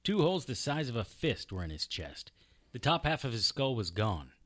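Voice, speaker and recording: An actor playing a wry, unflappable private investigator in an audio drama, narrating and talking in a deadpan, sardonic way. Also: male voice, mid-range voice, normal speed, no room sound, clean studio sound. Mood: grim narration. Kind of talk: hard-boiled detective audio drama acting with first-person narration.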